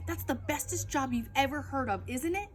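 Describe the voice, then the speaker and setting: A woman voicing an excitable little girl in a children's story, emphatic and exclaiming, with childlike grammar. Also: high-pitched, normal speed, slight background noise, pitched above the performer's own voice, natural voice. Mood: thrilled, boastful. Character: excitable little girl telling her own misadventures. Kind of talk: children's audiobook-style read-aloud.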